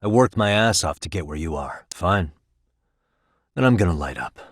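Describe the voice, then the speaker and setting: A man's voice: sits low, expressive, normal speed, husky, dry recording. A man playing a determined, streetwise detective in a video game, dry and probing in his terse delivery. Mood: intent, serious. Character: determined, streetwise male detective, dogged and wry. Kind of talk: scripted video game dialogue.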